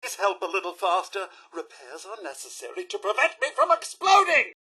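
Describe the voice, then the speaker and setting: A man's voice: sits high, wildly swooping pitch, normal speed, sharp tone, slightly rough, full character voice. A man playing an anxious, polite robot butler in a video game, with a British accent, a robotic effect and a fussy, melodramatic, formal manner. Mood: anxious, pleading. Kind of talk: video game character voice lines.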